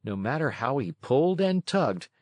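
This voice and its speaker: A man's voice: mid-range voice, big pitch swings, normal speed, a little mellow, no room sound. A man narrating a children's read-along storybook from a script.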